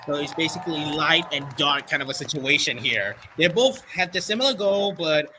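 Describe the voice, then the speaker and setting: A man doing casual reaction commentary in short remarks. His voice is slightly high with lively pitch, over clear background noise and clicks. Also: moderate pace. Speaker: reaction streamer. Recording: loud noise and clicks behind.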